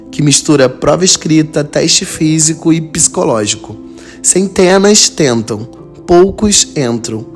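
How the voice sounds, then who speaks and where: A man hosting a podcast, reading scripted story narration in a measured, dramatic way. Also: on the high side. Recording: soft guitar music behind.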